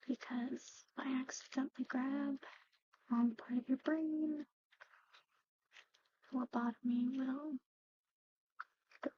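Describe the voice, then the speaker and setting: Asmr lady whispering a soothing creepy medic roleplay, soft and breathy, kinda muffled dry recording. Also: on the high side, no room sound. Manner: gentle, slow, breathy murmuring with whispers. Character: soothing eerie female medic tending a patient.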